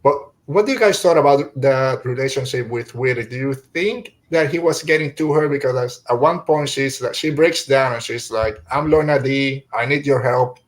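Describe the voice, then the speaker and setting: Man hosting a casual, halting panel chat. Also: medium pitch. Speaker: podcast host. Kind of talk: casual panel review discussion.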